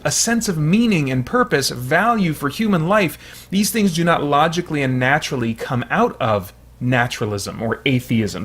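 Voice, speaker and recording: A man's voice: fairly high pitch, breathy edge. A man giving earnest, analytical commentary as a show host, with hesitant, stammering restarts.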